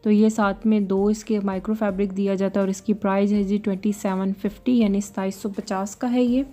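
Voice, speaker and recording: A woman's voice: mid-range voice, flat delivery, fairly quick, warm tone, faint music behind. A woman giving a chatty home vlog voice-over, explaining her shopping and a product step by step. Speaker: vlogger.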